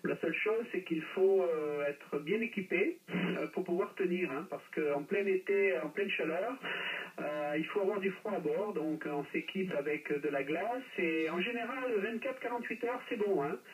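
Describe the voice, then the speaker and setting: A man chatting casually as a radio operator, pausing often and tagging his phrases, heard through a radio transmission. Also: high-pitched, highs cut off. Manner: hesitant phrasing with constant "hein" tags. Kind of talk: casual radio chat about a hobby. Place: playback from speakers captured by a phone. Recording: radio reception re-recorded off speakers, distant.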